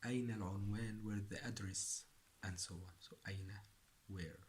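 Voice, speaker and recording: A man's voice: on the low side, fairly even intonation. Patient man repeating phrases. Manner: slow and patient, repeats phrases several times. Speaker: Arabic teacher. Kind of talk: language lesson for beginners.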